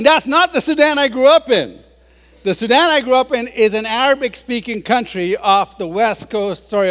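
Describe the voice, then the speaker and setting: A man in his sixties tells stories to a crowd with a joking, self-deprecating touch. His voice sits high for a man, and it rings out with the long reverb of a large hall. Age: 60s. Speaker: guest speaker. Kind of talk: humorous public talk.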